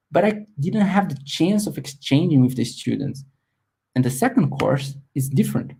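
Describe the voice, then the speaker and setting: Guy in his 30s pitching his course on a webinar, earnest, husky mellow voice that swings up and down a lot. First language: Portuguese. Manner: earnest, plain non-native phrasing, some restarts. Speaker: course creator hosting a webinar. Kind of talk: live webinar sales pitch.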